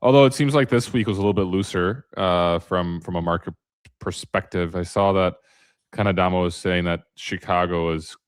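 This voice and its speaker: Chill guy hosting a podcast, deep voice, dry close sound. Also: normal speed, clean tone, dry recording. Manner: easygoing, reads posts aloud. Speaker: podcast host. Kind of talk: casual freight market chat.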